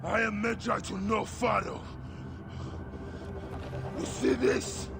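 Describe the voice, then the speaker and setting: An actor playing a menacing, vengeful warrior in a game cutscene, gravelly and slow, deliberate in his threats. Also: sits high, fairly even intonation, full character voice. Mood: cold menace. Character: vengeful male warrior protector, menacing, sleepless hunter. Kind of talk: dramatic video game cutscene acting. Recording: loud music over the voice. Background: loud dramatic music.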